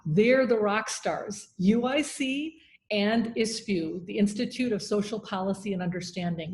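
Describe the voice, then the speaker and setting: A woman's voice: mid-range voice, darkish tone. A woman hosting an online round table in an informal, encouraging and enthusiastic manner. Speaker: host and moderator.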